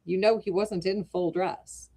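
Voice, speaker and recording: A woman reading aloud as an amused young gentleman who teases his friend. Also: mid-range voice, steady tone, a little slow. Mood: teasing. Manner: teasing, amused.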